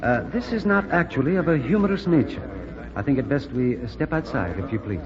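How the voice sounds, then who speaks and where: A man performs a courteous lieutenant in an old radio play. The voice is on the high side and moves widely in pitch. It rings with long reverb through a dull, noisy recording. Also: animated, fairly quick, a crowd in the background, natural voice. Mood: earnest, formal. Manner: formal, precise, courteous English. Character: earnest Chinese air force lieutenant, clowning as a spy. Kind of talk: 1940s radio drama acting.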